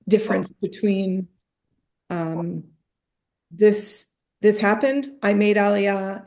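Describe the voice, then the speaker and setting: Mellow-voiced woman teaching thoughtfully. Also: mid-range voice. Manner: thoughtful, searching for words, many restarts. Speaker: rabbi leading a group session. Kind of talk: conversational teaching on a video call.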